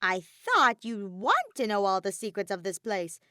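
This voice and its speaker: A woman's voice: mid-range voice, big pitch swings, measured pace, sharp tone, slight background noise. A woman voicing a bratty, exasperated male robotic teddy bear villain in a fan fiction audio reading, theatrical and whiny. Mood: demanding. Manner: theatrical, whiny, griping outbursts.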